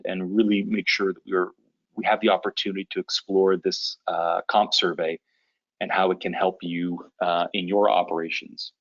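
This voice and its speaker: A man hosting a business conference call, giving an informal briefing in a conversational, somewhat halting way. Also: deep voice, highs cut off. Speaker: conference call host, association leader. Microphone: conference call connection.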